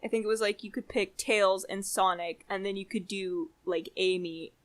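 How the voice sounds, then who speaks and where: A woman's voice: medium pitch, fairly even intonation, moderate pace. A young woman co-hosting a podcast in casual, candid chat, informal and a little self-deprecating. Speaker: podcast co-host.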